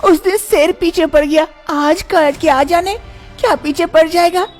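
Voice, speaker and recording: A woman's voice: very high voice, measured pace, faint music behind, pitched above the performer's own voice. A woman voicing a timid, scheming young wife in a storybook tale, who frets and mutters to herself. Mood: fretful. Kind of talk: storybook voice acting.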